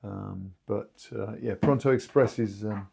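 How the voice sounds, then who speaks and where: Deep-voiced, mellow man. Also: creaky, husky. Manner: halting, explanatory. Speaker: two-way radio company spokesman. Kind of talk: informal podcast discussion.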